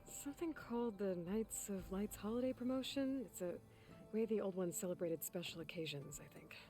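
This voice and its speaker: Woman playing a mellow, level-headed adventurer. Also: medium pitch, expressive, moderate pace, soft background music, lightly acted. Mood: calm, wry. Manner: matter-of-fact, understated. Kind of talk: video game voice acting.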